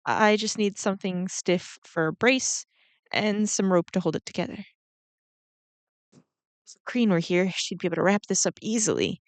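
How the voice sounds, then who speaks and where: A woman voicing a kindly, flustered giant ant queen in a roleplay audio drama, speaking gently and hesitantly to the listener. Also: medium pitch, moderate pace, long pauses, no room sound, close to an everyday voice. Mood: caring, flustered. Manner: gentle, hesitant, rambling with small nervous laughs.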